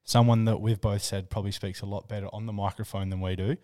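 A young Australian man co-hosting a podcast, relaxed and chatty. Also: on the low side, fast talker, no echo, clean studio sound. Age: young adult. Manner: relaxed, chatty with short agreements. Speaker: podcast co-host. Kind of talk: casual podcast chat. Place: podcast studio.

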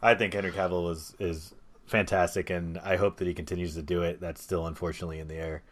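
Deep-voiced man on a casual podcast. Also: level tone, quick pace. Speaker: podcast host. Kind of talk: casual movie discussion.

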